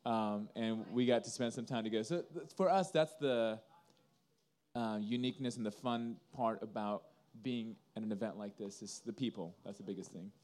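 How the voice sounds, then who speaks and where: Mellow-voiced man from Indonesia, earnest. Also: fairly low pitch, normal speed. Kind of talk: casual interview answers, storytelling.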